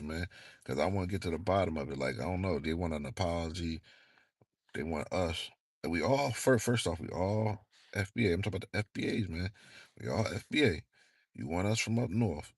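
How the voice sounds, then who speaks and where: Very deep gravelly man rambling casually. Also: no room sound, studio quality. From northeastern United States. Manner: laid-back, rambling, frequent fillers and slang. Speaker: channel host. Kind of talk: casual monologue vlog.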